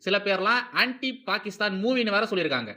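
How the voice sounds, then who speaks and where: A man's voice: high-pitched, very animated, quick pace, bright, forceful tone. A man with a Tamil Nadu accent giving informal, opinionated video commentary, emphatic and repetitive, asking rhetorical questions and mixing in English phrases. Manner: mixes English phrases into talk. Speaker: host of a film commentary series.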